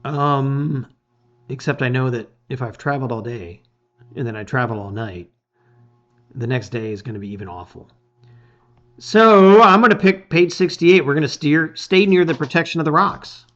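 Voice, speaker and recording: A man reading a gamebook aloud in expressive, emphatic storytelling, with casual asides. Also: medium pitch, normal speed, muffled recording, faint music behind.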